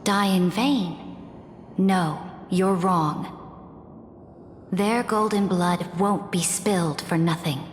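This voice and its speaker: An actress playing a cold, commanding, ruthless empress in a video game, with an imperious, measured and solemn delivery. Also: female voice, fairly low pitch, a little hiss or hum. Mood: solemn, resolute. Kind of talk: video game drama dialogue. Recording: echoey reverberant hall, music behind.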